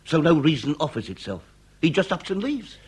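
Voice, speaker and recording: An actor playing a wily, ponderous police inspector in a period comedy radio drama, with ornate and halting questions. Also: male voice, on the high side, big pitch swings, husky. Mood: probing.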